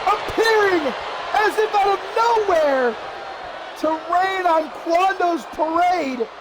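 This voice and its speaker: A man calling a wrestling match as play-by-play commentator, excitable and shouting at big moves, his voice going hoarse. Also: very high voice, very noisy. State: losing his voice. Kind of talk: live streamed commentary.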